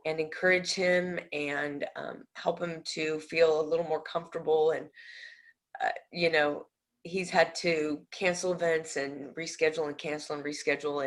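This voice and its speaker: A middle-aged ministry director with a slight Tennessee-tinged Southern accent, giving a casual, earnest update and asking listeners to pray. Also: female voice, on the low side. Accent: Tennessee-tinged American Southern. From Nebraska. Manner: earnest, chatty, asks for prayer, self-teasing.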